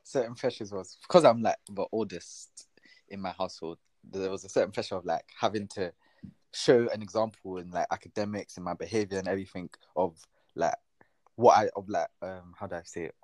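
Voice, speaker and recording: Young man, late teens, low voice, casual chat, dry sound. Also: a little fast, a little mellow, no room sound. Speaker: podcast guest, student.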